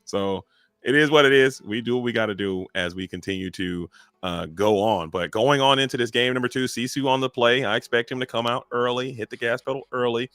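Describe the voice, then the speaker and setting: Man, card game commentator, energetic and joking, mid-pitched, dry close sound. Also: smooth, dry recording. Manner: energetic, joking, drawn-out emphasis.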